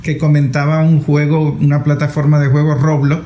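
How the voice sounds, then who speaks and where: Man, middle-aged, fairly high voice, conversational interviewer, roomy echo. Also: completely flat delivery, moderate pace, mellow. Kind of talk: conversational interview with personal anecdotes. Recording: echoey room with faint noise.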